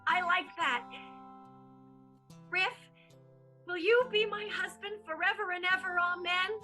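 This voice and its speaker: A woman's voice: very high voice, steady tone, a little slow, bright, forceful tone, soft background music, full character voice. A woman playing an adoring, lovestruck vaudeville bride in an improvised radio play, sweet and devoted. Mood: eager, adoring.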